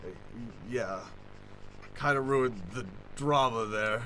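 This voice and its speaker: An actor playing a drunk, scheming mentor in an improvised old-time radio play, grandiose and dramatic. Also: male voice, medium pitch, slow pace, low-quality recording. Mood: muttering, drunk. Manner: grandiose, dramatic, swinging from murmurs to shouts. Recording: noticeable background noise.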